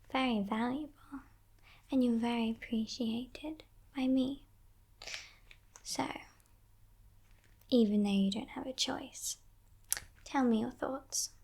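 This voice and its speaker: A woman acts out a sly demon kidnapper, a female captor in an ASMR roleplay. Her voice is a breathy, mostly whispered murmur with crackling fry, somewhat high for a woman. She takes her time, teasing and condescending, every coaxing line carrying quiet menace. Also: exaggerated voice. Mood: possessive, coaxing. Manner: slow, teasing, condescending, softly threatening.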